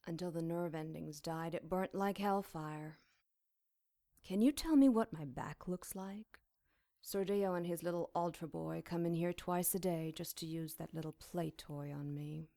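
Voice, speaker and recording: A woman's voice: fairly low pitch, a little slow, creaky, dark tone, no room sound, studio quality. An actress playing a captive demon witch in an audio drama, sly, wry and defiant in a dryly mocking way. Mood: weary, wry.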